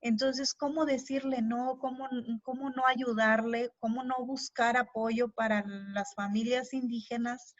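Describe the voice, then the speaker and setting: A Mexican woman with a fairly high voice and Tének as her first language speaks earnestly, pausing and searching for words as an interview guest. The sound is dry and slightly muffled, as on an online stream. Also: fairly even intonation, no room sound. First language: Tének (Huastec). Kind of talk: earnest interview answers in a live online talk.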